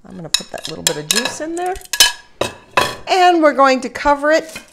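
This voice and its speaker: A woman's voice: high-pitched, big pitch swings, normal speed. A woman hosting an informal cooking demonstration, giving step-by-step instructions with chatty little asides. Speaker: home cooking show host. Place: home kitchen, indoors. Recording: kitchen noise, faint sizzling and clatter.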